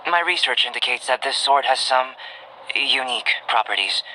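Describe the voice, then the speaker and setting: A man playing a calm, cerebral young male alchemist in a video game, with measured, formal, articulate delivery. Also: medium pitch, a little hiss or hum, rough recording. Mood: calm, composed. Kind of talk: anime-style video game voice acting. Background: game music.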